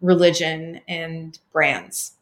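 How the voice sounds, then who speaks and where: A woman's voice: deep voice, fairly even intonation, extremely slow. A woman hosting a podcast interview, chatty and rambling with lots of fillers. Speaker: podcast host. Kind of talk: casual interview chat.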